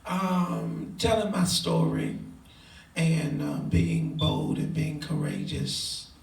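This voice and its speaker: A young man in his twenties answers interview questions slowly and hesitantly, filling his pauses and laughing softly. His voice has a gravelly edge and sits a little high. He is recorded from a distance in an echoey room with a faint hiss behind him. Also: big pitch swings. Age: 20s. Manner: hesitant, many fillers, soft laughs. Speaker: interviewee, preacher. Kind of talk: casual Q&A interview. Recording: echoey room, far from mic.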